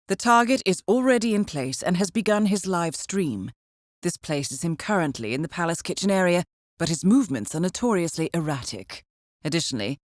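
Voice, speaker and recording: Woman acting as composed lady spy handler in a video game, dry wit, lowish voice, really lively intonation, close dry sound. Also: dry recording, natural voice. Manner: composed, measured, dryly wry, formal. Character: poised, dryly amused female intelligence handler guiding an assassin. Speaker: mission handler.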